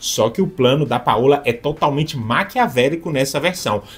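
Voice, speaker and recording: A man's voice: mid-range voice, lively intonation, normal speed, over music. A man with a Brazilian accent narrating an informative script as a video host.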